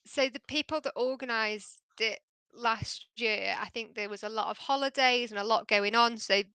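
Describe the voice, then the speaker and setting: A woman's voice: fairly high pitch, moderate pace, bright, forceful tone, clean tone, dry recording. An English woman co-hosting a casual podcast chat, upbeat and explaining. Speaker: podcast co-host.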